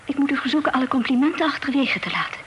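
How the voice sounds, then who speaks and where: High-voiced prim young lady, noisy recording. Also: animated, very fast, lo-fi sound. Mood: prim, coy. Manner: formal, coy, gently reproving. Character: well-bred young Dutch lady, prim, playful, modest. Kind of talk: radio play acting. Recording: noisy old radio play recording.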